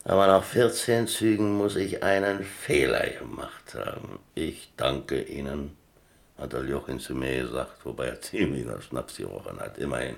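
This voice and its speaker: Casting: swaggering, easygoing captain, German radio play, extremely deep voice, leisurely raconteur delivery. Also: male voice, gravelly. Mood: reminiscing. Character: boastful, easygoing old sea captain spinning tales over chess.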